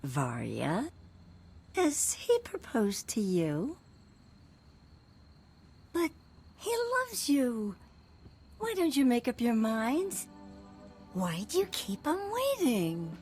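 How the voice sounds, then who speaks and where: Eccentric noblewoman, swooping intonation, slightly husky, sentimental, dreamy, visual novel drama. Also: on the high side, wildly swooping pitch, slow pace, long pauses, a little mellow, soft background music, exaggerated voice. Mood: coaxing. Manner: theatrical, wistful, dreamy. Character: eccentric middle-aged aristocratic woman, sentimental, scatterbrained, grieving mother.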